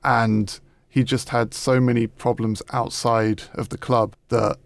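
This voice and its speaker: Even-toned man co-hosting a podcast. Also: mid-range voice, measured pace, gravelly, dry recording. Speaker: podcast co-host. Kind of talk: casual football discussion.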